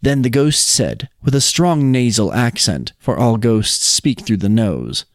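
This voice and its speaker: A man's voice: mid-range voice, big pitch swings, a little slow, no room sound, studio quality. A man co-hosting a folk tale podcast, talking and telling stories. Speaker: podcast co-host. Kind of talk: casual chat and storybook reading of folk tales.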